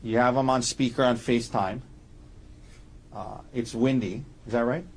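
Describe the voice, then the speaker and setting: A man speaking as an attorney in courtroom questioning, putting halting, leading questions and checking each with a short tag. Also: mid-range voice, fairly even intonation, a little hiss or hum. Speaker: defense attorney questioning a witness.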